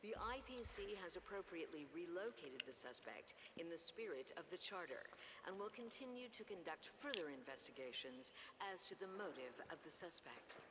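An actress playing a formal news broadcaster in a science-fiction game, reading in a measured, impersonal, official manner. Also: female voice, lowish voice, very animated, big-room sound, noisy background. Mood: official, neutral. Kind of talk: scripted news bulletin.

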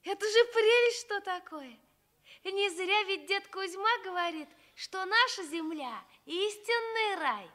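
Very high-pitched little village girl. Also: expressive, a little slow, pushed and bright, heavily acted. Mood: joyful. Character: wonder-struck young village girl, gentle and eager. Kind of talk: Soviet radio fairy-tale acting.

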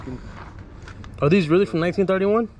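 A man's voice: high-pitched, fast, crowd noise. A man vlogging as he shops, chatting casually and politely with vendors and often repeating prices back. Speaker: vlogger shopping at a flea market. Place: outdoor flea market. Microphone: action camera worn by the speaker. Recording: noisy outdoor street market. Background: loud market and street noise.

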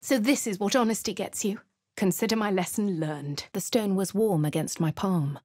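An actress playing a posh female adventurer from a video game, narrating her deeds with a husky voice in a posh English accent. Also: mid-range voice, normal speed, darkish tone, no room sound, clean studio sound, lightly acted. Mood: wry. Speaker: player character voice. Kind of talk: game voice lines, first-person narration.

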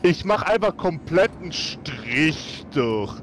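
Higher-pitched man in casual role-play. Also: very animated, slow, slight background noise. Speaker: tabletop role-play player. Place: home setup on a group video call. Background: music.

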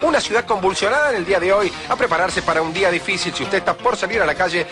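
Guy doing an argentine morning news anchor, gloomy and alarmist, talks super fast, music behind. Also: high voice, lightly acted. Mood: urgent, gloomy. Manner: hurried, emphatic, melodramatic. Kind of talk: parody radio news presenting.

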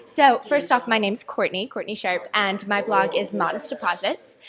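A woman's voice: mid-range voice, moderate pace. A young American woman, a blogger, introducing herself hesitantly with many ums at a busy indoor meetup. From United States. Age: young adult. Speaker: guest blogger. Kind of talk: casual interview. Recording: noisy crowded room. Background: crowd chatter.

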